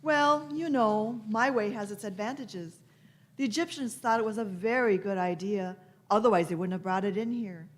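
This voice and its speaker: A woman playing a shrewd, teasing time-traveling doctor in a radio play, deliberate and knowing. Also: medium pitch, natural voice. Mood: wry, knowing. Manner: deliberate, knowing, punctuated with hmms. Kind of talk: live radio-play acting.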